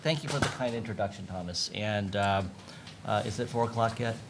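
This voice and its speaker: Low-voiced American man speaking on a panel. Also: very noisy. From United States. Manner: halting, hedging, thinks aloud. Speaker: panelist, lawyer. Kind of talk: unscripted panel remarks.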